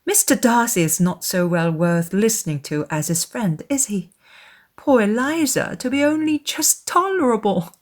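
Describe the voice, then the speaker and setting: A woman voicing a self-assured, teasing young gentlewoman in a dramatized classic novel, with a measured and knowing manner. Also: mid-range voice, animated, close to an everyday voice.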